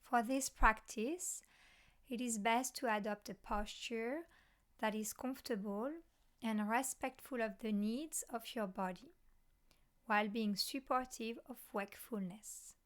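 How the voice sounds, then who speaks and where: A woman teaching mindfulness, leading a guided meditation in measured short phrases with pauses between them. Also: fairly high pitch, fairly even intonation.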